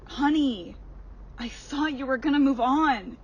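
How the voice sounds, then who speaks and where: A stern otherworldly woman, voiced by an actress with a high voice, admonishing in a measured way. It is horror radio play acting with faint noise behind. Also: a little mellow, dull audio. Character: stern female overseer warning a wayward succubus. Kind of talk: audio horror drama acting.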